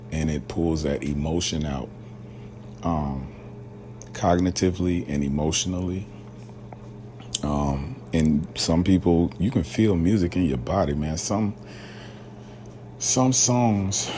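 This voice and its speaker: A man's voice: sits low, warm tone, a little hiss or hum. A man from rural Alabama hosting a casual solo podcast, talking loosely with lots of fillers and slang. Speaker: podcast host.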